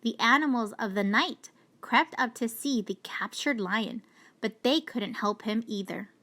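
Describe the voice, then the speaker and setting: A woman reading a children's storybook aloud with deliberate, expressive storytelling. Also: a little high. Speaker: storybook reader. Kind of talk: children's read-aloud narration.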